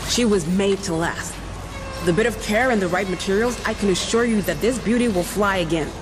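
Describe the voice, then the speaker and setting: An actress playing a practical, plainspoken starship chief engineer in a video game, with a wry manner. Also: female voice, medium pitch, a little fast, over music, natural voice, low-quality recording. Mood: assured, frank.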